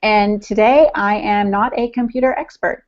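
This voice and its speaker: A woman's voice: medium pitch, normal speed. A middle-aged woman chatting casually as a podcast co-host, playful and wry. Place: home room on a video call. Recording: muffled video call audio.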